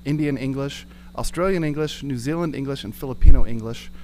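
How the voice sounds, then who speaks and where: An American man speaks at a middle pitch over clearly audible noise. He is an audience member at a conference, giving an informal account of what he has tried. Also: a little fast, a little mellow, low-quality recording. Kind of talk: informal spoken account of own experience. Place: conference session room.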